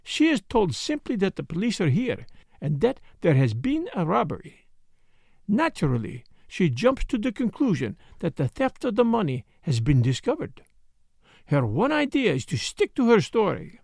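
A man performs a dapper foreign detective from a mystery audiobook. He is formal and measured, with French interjections, a high register and swooping intonation. The sound is close and dry. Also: huge pitch swings, vocal fry, darkish tone, dry recording, exaggerated voice. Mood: dry, explanatory.

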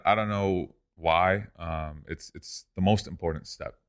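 Deep-voiced young man, laid-back. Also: unhurried, clear, resonant tone. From Lebanon. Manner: relaxed, says "dude" and "man" often. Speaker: guest, e-commerce entrepreneur. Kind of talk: casual podcast interview.

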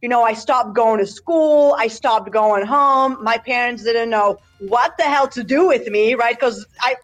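Lively, high-voiced woman from Poland. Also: a little slow, soft background music, poor audio. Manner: candid, energetic storytelling with many fillers. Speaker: podcast guest, job promotion coach. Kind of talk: personal life story in a casual interview.

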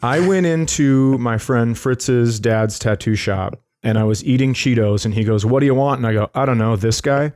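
A man's voice: mid-range voice, moderate pace, few pauses, darkish tone. A middle-aged man, a comedy podcast co-host, telling stories casually in a rambling, jokey way. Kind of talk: casual comic storytelling.

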